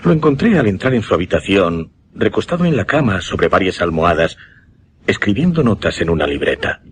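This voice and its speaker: Radio drama grave male hypnotist, low voice with lively swings, Castilian accent, deliberate haunted delivery. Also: slight background noise. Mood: haunted, horrified. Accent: Castilian Spanish. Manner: deliberate, dramatic, hesitating and repeating words for effect. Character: grave male hypnotist recounting a dying patient's horror. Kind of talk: Spanish radio drama suspense narration.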